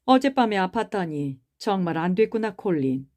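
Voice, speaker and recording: A woman's voice: medium pitch, big pitch swings, normal speed, darkish tone, close to an everyday voice. A woman voicing a timid, hesitant middle-aged male doctor in an audiobook. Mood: cautious. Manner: hesitant, mild. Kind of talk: audiobook character reading.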